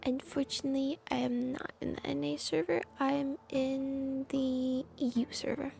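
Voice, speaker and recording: A woman's voice: sits high, little pitch movement, moderate pace, creaky, darkish tone. A woman live-streaming a game, chatting and reacting casually, candid and musing, often dropping to a murmur. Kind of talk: casual chat and reactions while playing.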